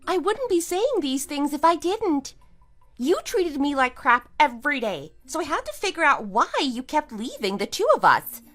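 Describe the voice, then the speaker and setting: High-pitched, scornful wronged wife, acted by a woman. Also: sing-song, normal speed. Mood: firm, accusing. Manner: sarcastic, taunting, emphatic. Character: wronged wife, scornful and triumphant, kicking out cheating husband. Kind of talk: amateur audio drama acting.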